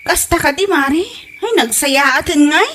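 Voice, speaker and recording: A woman's voice: high voice, a little slow, fairly bright tone, a little hiss or hum. A woman with an Ilocano Filipino accent acting an eager, chatty village wife looking for work in a comedy audio drama. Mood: curious.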